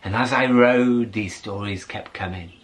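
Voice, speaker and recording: A man telling a story aloud in a theatrical way, with dramatic pauses and drawn-out words. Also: on the low side. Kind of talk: animated oral storytelling to an audience.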